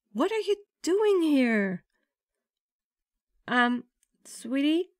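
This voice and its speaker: Woman acting nervous bride-to-be, unhurried and affectionate. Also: high voice, dry recording, studio quality, exaggerated voice. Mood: alarmed, scolding. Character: nervous, affectionate young bride-to-be on her wedding day. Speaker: roleplay audio performer. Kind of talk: ASMR girlfriend roleplay.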